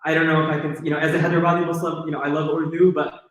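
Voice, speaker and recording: A man's voice: fairly high pitch, flat delivery, racing. A man answering an audience question at a lecture, earnest and enthusiastic, thinking aloud with fillers. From California, USA. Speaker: panelist. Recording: very echoey hall.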